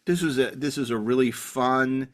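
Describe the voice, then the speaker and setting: A man hosting a podcast in casual, rambling chat, halting often and repeating his words. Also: medium pitch. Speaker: podcast host.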